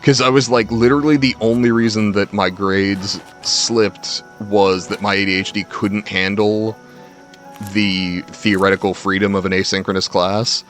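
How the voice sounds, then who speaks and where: A man's voice: fairly low pitch, level tone, normal speed, a bit bright. A young man chatting casually to stream viewers, telling rambling, self-deprecating personal stories with casual swearing. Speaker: game livestreamer. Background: faint game music.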